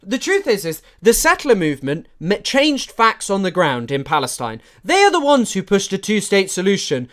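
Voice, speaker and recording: A man giving chatty live-stream commentary, animated and full of fillers and restarts. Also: high voice, moderate pace, few pauses.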